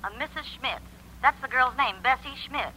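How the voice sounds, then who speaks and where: A man's voice: very high voice, normal speed, bright, forceful tone, heavily acted, poor audio. An actor playing an eager, pushy male reporter phoning in, with a telephone effect, in a 1950s radio drama. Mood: urgent.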